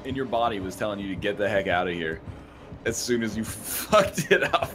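A man's voice: fairly low pitch, sing-song, a little fast, fairly bright tone, faint music behind. A man chatting casually and teasing his friends during a group gaming session. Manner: teasing, jokey. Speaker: gamer in a group play session. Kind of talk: casual banter over gameplay. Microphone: online voice chat mic. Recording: faint background noise on voice chat.

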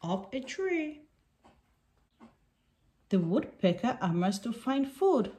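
A woman reads a picture book aloud to young children. She takes it slowly and deliberately, with very lively swings of pitch. There is light background noise and some clicking. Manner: deliberate, short simple sentences.

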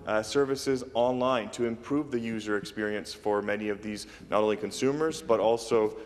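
A man's voice: fairly low pitch, moderate pace, in a large hall. Man minister answering with frequent fillers. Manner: hesitant, many fillers. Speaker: government minister. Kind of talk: parliamentary answer. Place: large legislative chamber. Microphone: desk microphone.

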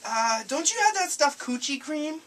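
A man's voice: very high-pitched, expressive, normal speed, a bit bright. A young man making a prank phone call in a rambling, hesitant, overfamiliar way, recorded by a handheld camera in a small room. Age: young adult. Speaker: prank caller. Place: small cluttered room indoors. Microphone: handheld camera a few feet away. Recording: noisy amateur camera audio, clicks.

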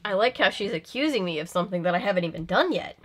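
A woman's voice: medium pitch, lively intonation, quick pace, a bit bright, a little hiss or hum. A young woman giving casual, comedic reaction commentary, full of sarcastic asides and mock exasperation. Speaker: video host reacting to an old film.

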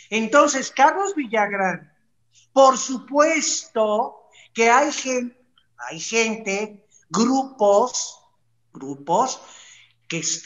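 A woman's voice: mid-range voice, fairly bright tone. A middle-aged Mexican woman giving casual, blunt commentary as a talk show panelist on a video call. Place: indoor room, on a video call. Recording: slightly dull video-call audio.

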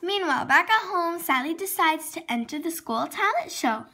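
A very high, bright female voice reads a children's storybook aloud. Her delivery is expressive, with words sometimes stumbled over and then corrected. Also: normal speed, slight background noise. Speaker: storybook reader.

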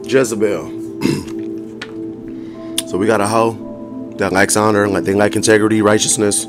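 A hoarse man giving a casual tarot reading to viewers, blunt and profane, often laughing as he talks. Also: fairly low pitch. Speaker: tarot reader. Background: music.

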